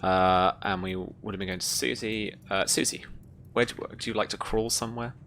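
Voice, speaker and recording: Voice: mid-range voice, fairly even intonation, normal speed, gravelly, a bit bright, slight background noise. A game master running a tabletop roleplaying game, informal in manner, narrating the action.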